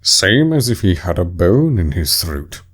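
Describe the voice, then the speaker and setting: A man voicing a gruff, blustering male Griffin, a winged beast, in a storybook reading. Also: deep voice, big pitch swings, measured pace, heavily acted. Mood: boisterous.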